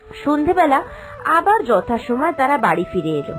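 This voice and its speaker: High-pitched animated woman storyteller. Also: moderate pace, over music. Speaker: narrator. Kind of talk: scripted storytelling narration for a cartoon.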